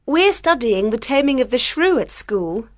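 A strong-willed young woman in a storybook, played by a woman narrator. Her voice is high and very animated, earnest and emphatic, full of feeling in a dry, close recording. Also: moderate pace, no echo. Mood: earnest, opinionated. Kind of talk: dramatised audiobook character voice.

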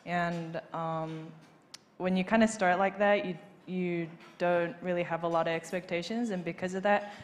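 A young woman in her twenties talking casually on stage into a handheld mic, relaxed, with lots of fillers and light laughs. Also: lowish voice, normal speed. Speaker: golfer being interviewed on stage. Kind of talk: casual interview. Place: large banquet hall stage. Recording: reverberant hall, faint noise.

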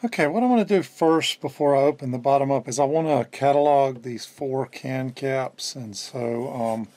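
A man in his fifties giving a casual hands-on demonstration at a workbench indoors, explaining in a halting way as he thinks aloud. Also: medium pitch, a little mellow. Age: 50s. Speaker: electronics restorer and presenter.

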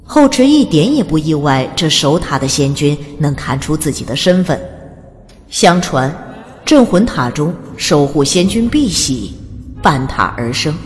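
Low-voiced woman narrating a fantasy audiobook. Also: big pitch swings, a little slow. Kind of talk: dramatic scripted fantasy narration. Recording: long reverb on the voice. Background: faint music.

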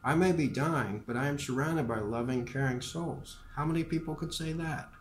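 A man voicing a frail, dying old male professor who speaks gently and calmly in an unhurried way. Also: mid-range voice, a little hiss or hum, voice pushed up, lightly acted. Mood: earnest. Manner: gentle, unhurried, softly earnest. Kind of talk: audiobook character voice. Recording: roomy echo with faint ambience.

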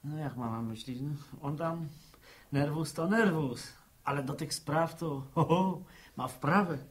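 An actor in a 1960s Polish radio drama playing a joking young farm son, playful and wheedling. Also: male voice, fairly high pitch, measured pace, lightly acted. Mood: coaxing. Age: young adult.

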